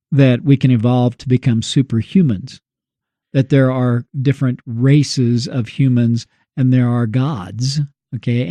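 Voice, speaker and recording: A man co-hosting a conversational religious commentary, explaining in a measured way and posing questions that he answers himself. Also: mid-range voice, warm tone, dry recording. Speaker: co-host.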